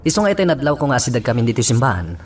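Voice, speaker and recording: An actor playing a brisk, determined police chief in an Ilocano radio drama. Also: male voice, medium pitch, big pitch swings, distant mic. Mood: frustrated.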